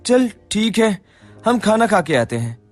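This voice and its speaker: A man's voice: high-pitched, very animated, a little slow, faint music behind, exaggerated voice. A man playing a chatty, cheeky young shop co-worker in a Hindi moral-story cartoon. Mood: friendly.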